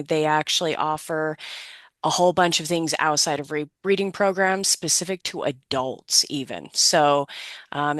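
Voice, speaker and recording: A woman's voice: on the low side, no room sound. A woman taking part in a group, informally explaining local information in a detailed, informative way.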